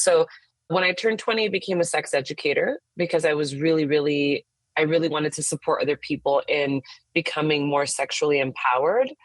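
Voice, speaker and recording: A woman's voice: deep voice, no echo. A woman in her thirties from the United States, a therapist telling her own story in an open, frank, casual way, often saying "like". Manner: open and frank, frequent "like" fillers. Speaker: therapist and intimacy coach, podcast guest. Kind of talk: candid personal story in casual conversation.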